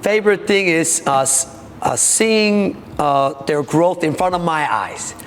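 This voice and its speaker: A man from South Korea with a Korean accent, a taekwondo master speaking haltingly in an interview, with many fillers. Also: on the high side, lively intonation, big-room sound.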